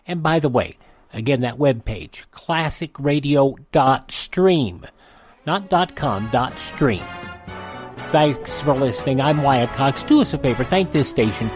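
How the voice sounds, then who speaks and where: A man's voice: mid-range voice, lively intonation, unhurried, a little mellow, soft background music. A man hosting a radio show, announcing and chatting directly to listeners.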